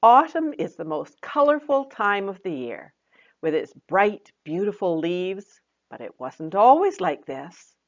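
Animated older woman reading a children's story. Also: mid-range voice, unhurried, muffled recording. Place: small plain room indoors.

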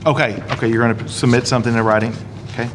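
Man moderating a meeting, brisk short prompts, average pitch, audible room noise. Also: flat delivery. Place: community center meeting room.

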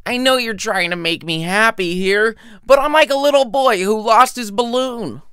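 A man's voice: sits high, moderate pace, pushed and bright, smooth, dry recording, clean studio sound, pitched above the performer's own voice. A man voicing a heartbroken young American student in an audio story, whiny, sarcastic and melodramatic. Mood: whiny, despairing.

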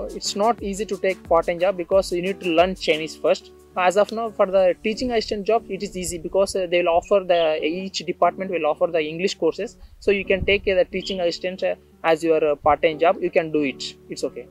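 A man's voice: sits high, fairly even intonation, a little fast, hardly any pauses, soft background music. A young man from India, an international student, answering interview questions outdoors on a campus, hesitant with many fillers and restarts. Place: outdoors on a tree-lined campus.

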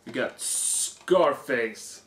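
A hoarse young man on a living room couch, casually reading off movie box features. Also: mid-range voice, expressive, extremely slow, heavy background noise. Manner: reads off lists, offhand comments. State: hoarse, losing his voice. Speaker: vlogger. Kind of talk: casual unboxing chat. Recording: echoey room.

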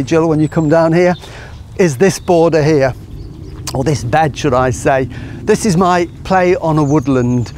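A middle-aged man giving a relaxed, enthusiastic guided tour of his garden outdoors, explaining as he walks. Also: a little high, a little hiss or hum. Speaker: gardener and show host.

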